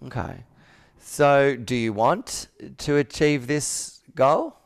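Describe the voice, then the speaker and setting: A man's voice: medium pitch, expressive, slow. A man in his thirties coaching a sales roleplay on a video call, asking probing questions and repeating answers back. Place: indoor room with whiteboard, on a video call.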